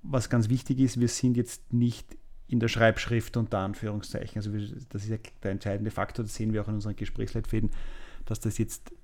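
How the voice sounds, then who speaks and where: A man's voice: lowish voice, level tone, a little fast, a little mellow. A man hosting a podcast, asking long rambling questions and summarizing the answers. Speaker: podcast host, managing director. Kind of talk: podcast interview.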